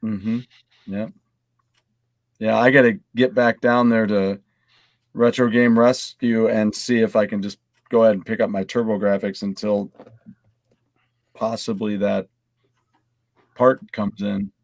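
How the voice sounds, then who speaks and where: A man's voice: lowish voice, flat delivery, unhurried, pauses often, dry recording. A man co-hosting a podcast, chatting casually and sometimes reading items out to the group. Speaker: podcast co-host.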